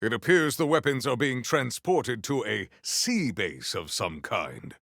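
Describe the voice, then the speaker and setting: A man playing a solemn, proud warrior hunter in a video game, speaking in formal, stilted, declamatory sentences with a gravelly low voice. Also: lively intonation, unhurried, a bit bright, no echo, studio quality, heavily acted. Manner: formal, stilted, declamatory speech without contractions. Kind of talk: video game character acting.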